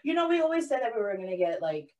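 A woman co-hosting a casual podcast, loud and brash, who swears freely and laughs often. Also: a little high, sing-song, racing, far from the mic. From Puerto Rican heritage. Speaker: podcast co-host. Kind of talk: casual chat.

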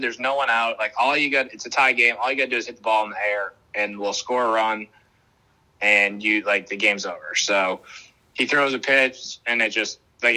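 A young man from the Boston area, a minor league ballplayer, answering interview questions over a video call in a relaxed, rambling way with lots of fillers. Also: fairly low pitch, low-quality recording. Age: young adult. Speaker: minor league baseball player, guest.

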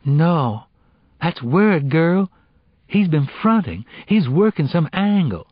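A man voicing a blunt, street-smart teenage girl in an audiobook, slangy and challenging, quick with questions. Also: on the high side, very animated, dry recording, dull audio, pitched above the performer's own voice, exaggerated voice. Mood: firm, protective.

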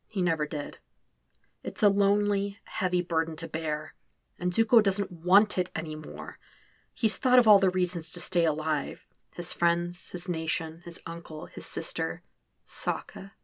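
A woman reading a fanfiction story aloud in a soft, subdued, measured way. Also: on the low side, dry recording, clean studio sound. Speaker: fanfiction reader. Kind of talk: scripted narration of a podfic.

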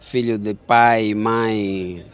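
A man's voice: lowish voice, fairly even intonation, slow pace, clean tone. A young man from Angola answering an interview question in a reflective, slightly hesitant way.

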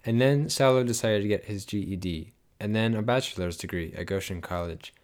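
A man narrates a scripted life story in a low voice. He summarizes the events briskly, in a storyteller's way.

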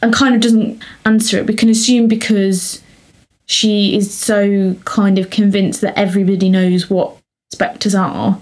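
A woman's voice: mid-range voice, little pitch movement, a little slow, a little mellow. Dry, teasing woman recapping a story. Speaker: podcast co-host. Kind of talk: casual book podcast chat.

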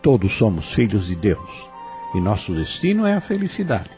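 A man with a Brazilian accent giving spiritual commentary on radio in a formal, reflective, gently preaching way. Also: fairly low pitch, wildly swooping pitch, moderate pace, faint music behind.

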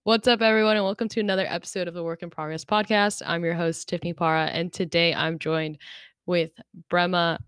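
A woman's voice: lowish voice, steady tone, fairly quick, a bit bright, a little hiss or hum. A young woman hosting a podcast in an upbeat, chatty way. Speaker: podcast host.

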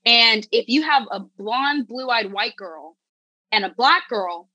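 A young woman talks as a guest on a casual podcast, candid and animated, stringing thoughts together with "like" and quick restarts. Her voice is bright and a touch high, recorded dry and close. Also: dry recording, rough recording. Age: young adult.

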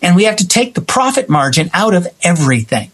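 American male, high voice with a raspy edge, emphatic conversational delivery. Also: sing-song, fairly quick. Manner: emphatic, acting out imagined quotes. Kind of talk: opinionated conversation about society.

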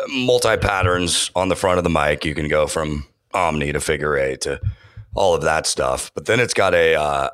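Man, extremely low voice, enthusiastic, lots of ums and restarts, dry close mic. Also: a bit bright, no room sound. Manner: enthusiastic, many fillers and restarts. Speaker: voiceover artist and audio engineer. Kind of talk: casual interview talk.

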